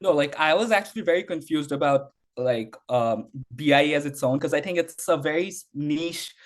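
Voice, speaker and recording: A man's voice: fairly high pitch, moderate pace. A young man from Bangladesh, a university student, answering interview questions casually with frequent fillers and restarts. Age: young adult. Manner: rambling, heavy use of "like", frequent restarts.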